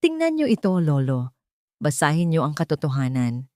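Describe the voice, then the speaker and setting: A woman's voice: low-pitched, huge pitch swings, moderate pace, darkish tone, no echo, clean studio sound. A woman voicing a vengeful young heiress in an audio drama, emphatic and full of authority. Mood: bitter, pleading. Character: commanding vengeful young heiress, bitter yet loving.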